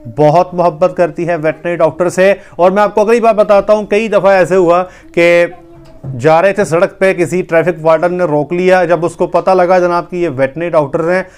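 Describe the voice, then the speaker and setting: A man's voice: high voice, normal speed, darkish tone, clean tone. A man, a veterinary doctor talking casually to camera in a small room on a lapel mic, earnest and gesturing as he explains. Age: 30s. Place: small indoor room with wood-panelled wall.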